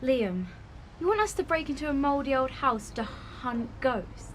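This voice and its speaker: High-voiced, sarcastic young woman. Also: lively intonation, unhurried, slight background noise. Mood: sceptical. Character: Claire, exasperated, reluctant young female video blogger. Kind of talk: audio drama acting.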